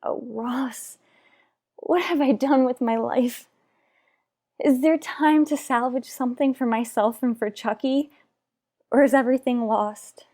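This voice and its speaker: A woman playing an anguished, unhappily married mother in a radio soap opera, speaking earnestly with dramatic pauses. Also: sits high, fairly even intonation, mellow. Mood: regretful, yearning.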